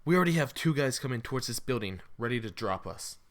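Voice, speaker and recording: A man's voice: medium pitch, expressive, fast talker, close to an everyday voice. A man playing a cocky, capable veteran survivor in an audio drama about a battle game. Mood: focused, cautious.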